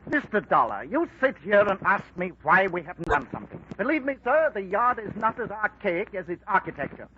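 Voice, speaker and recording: Stiff type, clipped and animated, vintage lo-fi radio sound. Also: male voice, sits high, brisk. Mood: formal. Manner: formal and clipped. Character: proper, stuffy British police inspector. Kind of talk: 1940s radio acting. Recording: faint hiss, lo-fi old radio recording.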